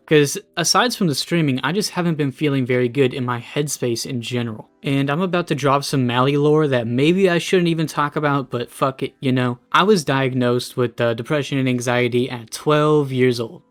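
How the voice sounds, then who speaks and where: A man in his late teens giving a casual, candid, self-deprecating monologue as a streamer, swearing freely and sounding discouraged. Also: medium pitch. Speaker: streamer and video creator.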